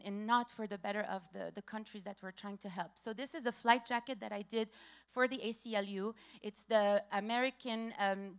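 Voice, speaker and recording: Passionate Lebanese-born woman speaker, high voice. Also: a bit bright, clean tone. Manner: hurried, passionate, repeats phrases. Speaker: conference speaker. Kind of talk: conference talk with slides. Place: conference stage.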